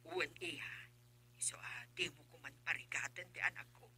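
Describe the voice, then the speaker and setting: A woman's voice: deep voice, fairly quick, bright, forceful tone, slightly rough, in a whisper, heavily acted. A woman playing an emotional middle-aged mother who pleads, in a radio drama. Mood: pleading. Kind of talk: Filipino radio drama acting.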